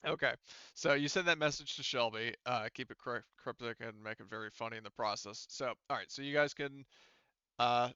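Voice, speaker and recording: A man's voice: mid-range voice, brisk, sharp tone, clear, resonant tone, dry recording, dull audio. A man narrating a tabletop game as game master, explaining things in a casual, rambling, conversational way.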